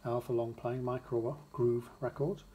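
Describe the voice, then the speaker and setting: A man with a mid-pitched voice explains a piece of machinery step by step. He speaks in short, plain instructions, as if working with his hands as he goes. There is clear background noise with small mechanical clicks. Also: flat delivery, measured pace, warm tone. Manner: plain, matter-of-fact instructions in short phrases. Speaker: demonstrator of a vintage record player. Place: indoors, beside a wooden radiogram.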